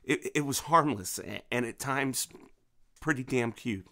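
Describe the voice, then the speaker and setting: Intense, menacing grieving father, bright forceful voice. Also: mid-range voice. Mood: tense, recounting. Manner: intense, halting, with repeated words. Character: menacing, grieving father protecting his daughter. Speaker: actor in an audio drama. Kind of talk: dramatic audio drama acting.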